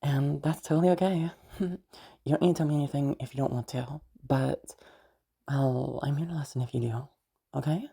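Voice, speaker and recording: Woman acting a low, husky, kindly young stranger. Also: normal speed, warm tone, full character voice. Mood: gentle, reassuring. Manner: hesitant, chuckling often, casual swearing. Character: kindly, teasing young female stranger comforting someone upset. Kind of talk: improvised-sounding intimate audio roleplay, one side of dialogue.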